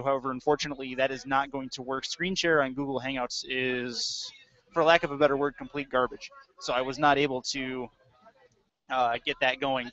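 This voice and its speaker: A man's voice: medium pitch, sharp tone. A man hosting an amateur live sports broadcast, speaking as the play-by-play announcer and podcast host. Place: live from a cafe. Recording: dull lo-fi live stream audio.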